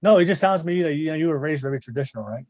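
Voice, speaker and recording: A man's voice: a little high, lively intonation, rapid-fire, darkish tone, no room sound, lo-fi sound. A man hosting a podcast in a casual interview style, rambling as he repeats and rephrases what he says. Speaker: podcast host.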